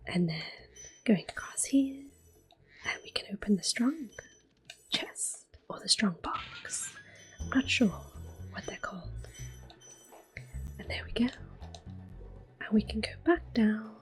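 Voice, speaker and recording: A woman giving gentle, soft-spoken ASMR gameplay commentary in a breathy whisper. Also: fairly high pitch, expressive. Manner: gentle, unhurried. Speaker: gameplay host. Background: soft game music.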